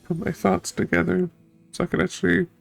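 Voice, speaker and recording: Tearful, gravelly streamer, halting and choked up. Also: medium pitch, level tone, soft background music. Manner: halting, sighing, at a loss for words. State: tearful, sniffling, choked up from crying. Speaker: streamer, game playthrough host. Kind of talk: emotional unscripted chat to viewers after finishing a game.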